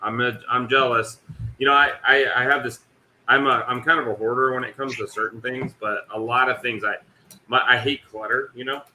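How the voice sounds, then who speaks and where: Bright-voiced rambling American-style host chat. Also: male voice, mid-range voice, steady tone, a little fast, reverberant, a little hiss or hum, low-quality recording. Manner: rambling, self-mocking, swears casually. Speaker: livestream host. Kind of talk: casual chat.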